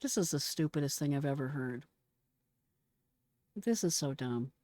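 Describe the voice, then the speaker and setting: A woman's voice: low voice, expressive, measured pace, dark tone, no echo, studio quality. A middle-aged American woman giving casual, blunt and exclamatory reaction commentary in slangy speech. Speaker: retired emergency dispatcher reacting to a call recording.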